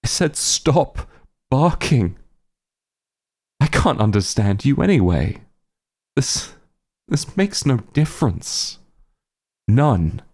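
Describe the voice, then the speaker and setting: Man playing a slow, gravelly, bitter monster hunter. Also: mid-range voice, sing-song, darkish tone, full character voice. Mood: angry, agitated. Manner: menacing, halting, intense, drawn-out phrasing. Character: bitter male werewolf hunter, cruel, grieving, shaken. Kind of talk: dramatic audio roleplay monologue.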